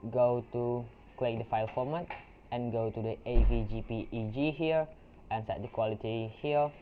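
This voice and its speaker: Young indonesian guy doing a software tutorial, halting english, phone-thin mic, bit of hiss. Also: medium pitch. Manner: halting, with frequent restarts and fillers. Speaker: tutorial creator. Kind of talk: software screen-recording tutorial. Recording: tinny lo-fi mic with faint noise.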